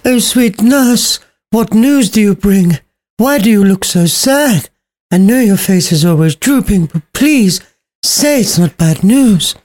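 Guy putting on a high squeaky young girl, begging and pushy. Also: expressive, slow, a little mellow. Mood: pleading. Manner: pleading, pushy. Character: impatient young girl, lovestruck.

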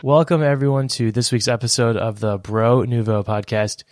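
A man's voice: mid-range voice, fairly even intonation, normal speed, loud music behind the voice. A man hosting a podcast, introducing his guest and asking questions in a friendly, plain-spoken way. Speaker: podcast host. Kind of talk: casual interview hosting.

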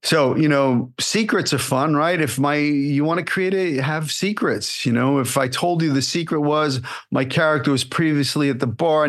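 An acting coach talks straight at his listeners, blunt and animated, tossing out rhetorical questions. His voice sits in a middling register for a man, and his talk is loose and casual, full of fillers and restarts. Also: medium pitch. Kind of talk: solo podcast advice.

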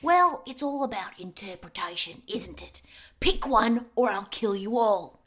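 An actor playing a menacing gangster who holds women hostage, taunting them in a cold, threatening way. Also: male voice, high-pitched, expressive, unhurried, fairly bright tone.

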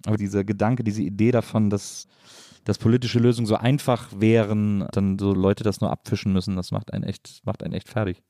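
Casting: male podcast host, lowish mellow voice, informal and roundabout, thinking aloud in conversation. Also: flat delivery, fairly quick, dry recording, studio quality. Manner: informal, musing, roundabout phrasing. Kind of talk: casual conversational interview.

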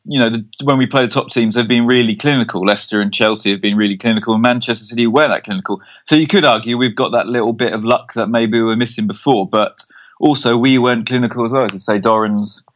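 A man's voice: medium pitch, fairly even intonation, fast, fairly bright tone, highs cut off. English guy doing casual footy podcast chat, kinda hesitant, wordy, keeps ending on little questions. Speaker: football podcast co-host.

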